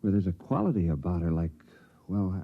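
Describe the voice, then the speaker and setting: A man acting as an earnest, awkward, lovestruck young newcomer in a 1950s radio drama, halting and bashful. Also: low-pitched, animated. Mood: earnest, smitten. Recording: muffled vintage radio recording.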